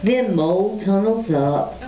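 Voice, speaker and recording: A woman's voice: medium pitch, expressive, slow pace. A woman narrating a rhyming children's story at a puppet show in a sing-song read-aloud manner. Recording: echoey, muffled, with a crowd behind.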